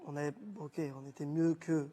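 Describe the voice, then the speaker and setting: A man's voice: on the high side, little pitch movement, normal speed, dark tone, a little hiss or hum. A footballer from France answering interview questions earnestly, repeating words as he searches.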